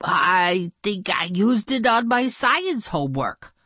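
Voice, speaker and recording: A woman's voice: medium pitch, very animated, slow pace, sharp tone, no room sound, pitched above the performer's own voice, heavily acted. A woman reading a storybook aloud, voicing a sheepish young schoolboy. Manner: sheepish, offhand.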